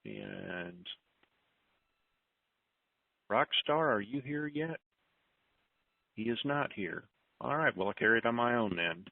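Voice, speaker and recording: A man's voice: mid-range voice, expressive, slow, gravelly, long pauses. Folksy man hosting over a tinny phone line. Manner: folksy, disorganized, thinks aloud while searching notes. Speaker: conference call host. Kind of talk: informal, rambling announcements to listeners on a group call. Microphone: telephone.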